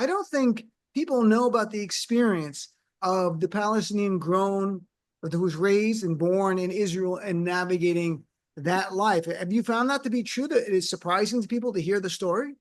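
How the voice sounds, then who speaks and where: A man hosting a radio interview, chatting with his guest, asking questions and giving show details. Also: sits high. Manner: conversational, asks questions and plugs the show. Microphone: studio microphone.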